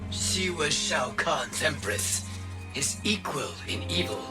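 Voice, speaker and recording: An actor playing a stern, cautious warrior ally in a video game cinematic, grave and deliberate in his delivery. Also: on the high side, animated. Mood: sceptical. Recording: loud music under dialogue.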